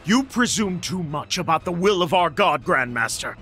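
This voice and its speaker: An actor playing a defiant, principled young prince in a video game, earnest and indignant. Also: male voice, high voice, animated, moderate pace, pushed and bright, faint music behind, heavily acted.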